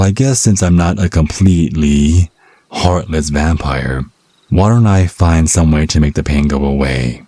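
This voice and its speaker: A man's voice: low-pitched, warm tone, no echo. A man playing a smug, cunning vampire captive in an ASMR audio drama, taunting with sardonic mock politeness and teasing pet names. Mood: menacing, mock-soothing.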